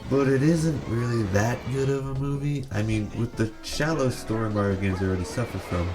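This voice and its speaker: A man's voice: medium pitch, moderate pace, over music. A man narrating a scripted video essay, giving opinionated commentary with a sarcastic edge.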